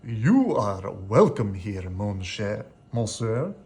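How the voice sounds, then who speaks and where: A courtly, kindly enchanted candelabra from a storybook, played by a male reader. It welcomes with drawn-out words and French flourishes. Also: on the low side, big pitch swings, darkish tone, full character voice. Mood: welcoming.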